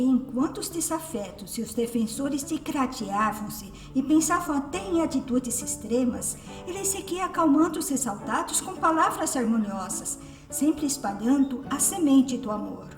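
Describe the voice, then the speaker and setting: A woman acts a kindly female spirit in a Brazilian radio drama. Her highish voice is serene and edifying, set back in echo over faint music. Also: animated, moderate pace. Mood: serene, reassuring. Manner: gentle, edifying. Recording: echoey, distant from the microphone.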